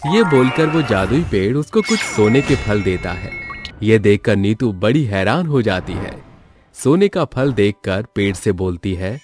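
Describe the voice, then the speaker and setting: A man narrates a scripted children's tale. His voice is low and moves with lively swings in pitch, over faint music. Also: measured pace.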